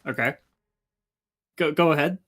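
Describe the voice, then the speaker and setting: A man's voice: fairly high pitch, measured pace, fairly bright tone. A man talking casually as the game master of a tabletop session.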